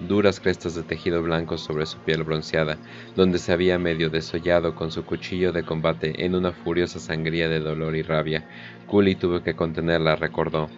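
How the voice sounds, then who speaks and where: A man's voice: rumbling low, dull audio. A man narrating a scripted war story. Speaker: audiodrama narrator.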